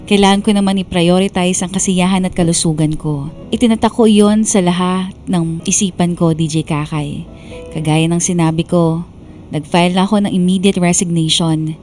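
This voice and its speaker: A woman playing a burned-out young woman who tells her life story in measured, confiding radio drama narration. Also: on the low side, dark tone, lightly acted. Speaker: narrator reading a letter sender's story. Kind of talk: scripted first-person radio drama narration. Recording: background music under the narration.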